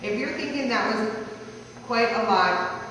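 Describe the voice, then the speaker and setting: A woman's voice: fairly high pitch, measured pace, fairly bright tone. A woman reading a rhyming life-story tribute aloud at a family gathering. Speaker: relative reading a birthday tribute. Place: large echoey room at a family party. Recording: echoey hall from far off, noisy.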